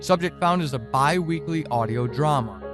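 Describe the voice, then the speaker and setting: A man's voice: a little high, moderate pace, music in the background. A man reading a scripted podcast introduction and announcement as the show's creator.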